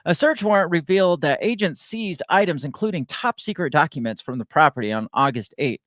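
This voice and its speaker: A young man with a slightly raised pitch talks through the news in a loose, sarcastic ramble, stopping and restarting often. His voice sounds dry and close, with no room sound around it. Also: lively intonation, fairly quick, a bit bright, no room sound. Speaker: host of a political commentary show. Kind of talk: casual political commentary, reading news aloud.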